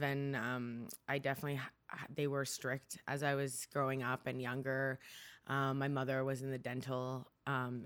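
A Canadian woman speaking as an interview guest, telling personal stories in a candid, reflective way. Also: rumbling low. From Ontario, Canada.

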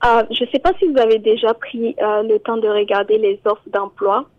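A woman's voice: fairly high pitch, fairly even intonation, moderate pace, no echo. A woman immigration columnist, speaking in a radio interview and hesitating with many fillers and repeated words. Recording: tinny phone line, very dry.

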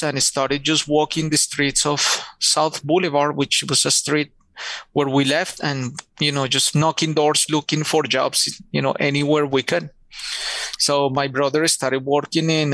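A man's voice: on the high side, a bit bright, dry recording. A middle-aged man from Colombia with a Colombian Spanish accent, a podcast guest telling his story in an earnest way and often repeating words. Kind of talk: relaxed storytelling interview.

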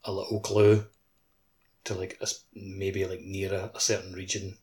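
A man's voice: deep voice, level tone, moderate pace, darkish tone. A man co-hosting a podcast, chatting casually about video games in a loose, rambling way full of filler words. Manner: rambling, peppers speech with "like". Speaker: podcast co-host. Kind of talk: casual video game chat.

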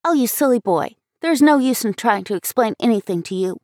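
An earnest little schoolgirl played by a woman, petulant at times and childlike in her eager emphasis. The voice is high and recorded close and dry, as in a storybook reading. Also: lively intonation, moderate pace, no echo. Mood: defensive, impatient.

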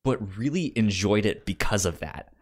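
A man co-hosting a film podcast in casual conversation, speaking haltingly and often repeating sounds and syllables as he goes. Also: lowish voice, animated.

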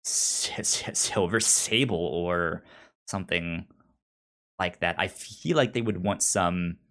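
A man's voice: deep voice, slow pace, fairly bright tone. A man co-hosting a casual podcast discussion, stammering and repeating syllables as he works through his thoughts. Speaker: pop culture podcast co-host.

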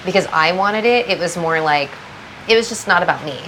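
A woman's voice: on the low side, animated, a little fast, fairly bright tone, a little hiss or hum, low-quality recording. A woman from Southern California, a guest brand founder, talking reflectively in a casual podcast interview and thinking aloud.

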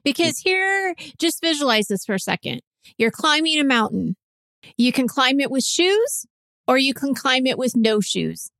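A woman's voice: high voice, sing-song, moderate pace, gravelly, a bit bright, no echo. A woman co-hosting a casual podcast, chatting in a supportive, agreeable way. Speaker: podcast co-host, ADHD coach. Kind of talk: casual conversational podcast chat.

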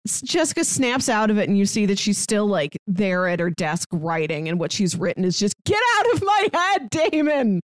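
A woman talks through a film as a podcast co-host, in an informal recap style. Her voice sits at an average pitch. The sound is dry, free of any room echo. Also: sing-song, moderate pace, few pauses. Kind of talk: casual film recap and commentary.

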